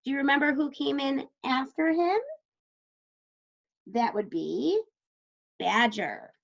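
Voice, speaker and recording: Slow-paced woman teacher with a high voice. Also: animated, vocal fry. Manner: instructional, questioning, pauses for answers, encouraging. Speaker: elementary school teacher. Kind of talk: read-aloud lesson talk to young children. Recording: dull lo-fi sound.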